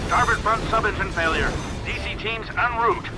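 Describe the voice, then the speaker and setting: A man's voice: high voice, moderate pace, close to an everyday voice. A man playing a tense warship officer in a video game, giving terse, urgent alerts. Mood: urgent alarm. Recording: voice buried in loud music.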